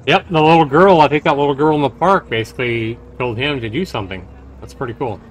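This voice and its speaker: A man's voice: mid-range voice, quick pace, rough recording. A man livestreaming a game, reading the narration aloud and adding his own commentary. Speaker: streamer and host.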